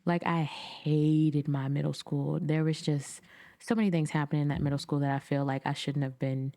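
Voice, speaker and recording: This is a woman in her late twenties, co-hosting a podcast in an easy conversational tone. Her voice sits low for a woman. She tells stories with a laugh and acts out the lines of the people in them. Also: normal speed. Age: 20s. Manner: laughing, storytelling, animated quoting. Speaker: podcast co-host. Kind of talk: casual chat.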